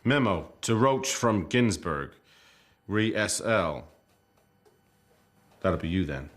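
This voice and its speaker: An actor playing a dignified 1930s comedy film star in a period drama, speaking formally and politely. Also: male voice, sits low, animated, a bit bright, full character voice. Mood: guarded. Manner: formal, polite, measured, proud. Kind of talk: radio-play style period drama acting.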